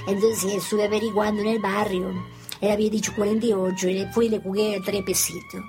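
A woman playing a dramatic old woman in her seventies with an Italian accent, talking in a sing-song, indignant way and breaking into loud outbursts. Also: medium pitch, wildly swooping pitch, a little fast, soft background music. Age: 70s. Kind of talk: comic improv sketch.

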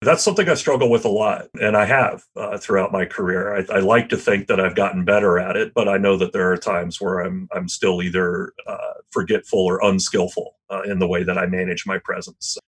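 A middle-aged man, a history teacher, answering an interview question in a loud voice, thoughtful and self-deprecating, with frequent restarts. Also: low-pitched, fairly even intonation, brisk, hardly any pauses, slightly rough.

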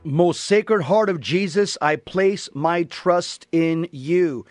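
A man's voice: a little high, slow, no room sound, faint music behind. An American man hosting a talk radio show, giving emphatic monologue commentary in which he repeats and restates his points.

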